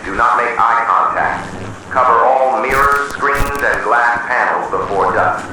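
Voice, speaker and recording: A man reading a scripted horror emergency announcement in a solemn, measured, official manner. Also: mid-range voice. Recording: distant, heavily echoing, noisy emergency broadcast.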